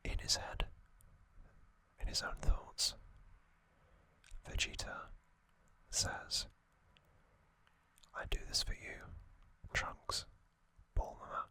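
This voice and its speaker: Guy doing asmr, slow whisper, bright airy sound, lots of ums and uhs. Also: no echo. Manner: hesitant, self-correcting delivery. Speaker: ASMR creator. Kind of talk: whispered ASMR trivia talk.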